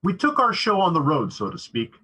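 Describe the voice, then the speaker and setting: A man with a slightly husky voice giving an earnest, scripted introduction as a theatre director. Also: a little high, wildly swooping pitch, brisk, pushed and bright.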